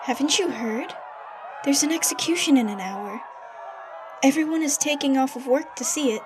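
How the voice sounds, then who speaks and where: An actress playing a kindly, motherly head maid of a castle in an audio drama, speaking gently. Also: high voice, lively intonation, moderate pace, noisy background. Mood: gentle regret.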